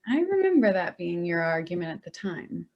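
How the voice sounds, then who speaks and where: An actress playing Danielle, a sardonic grown daughter, in a staged play reading. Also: female voice, lowish voice, big pitch swings, moderate pace, lo-fi sound. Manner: sardonic, wry.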